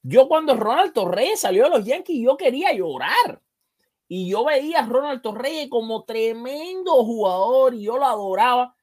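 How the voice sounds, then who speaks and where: A man's voice: extremely high pitch, very animated, normal speed, darkish tone. A man hosting a sports show, discussing baseball casually and emphatically, often repeating phrases to drive a point home.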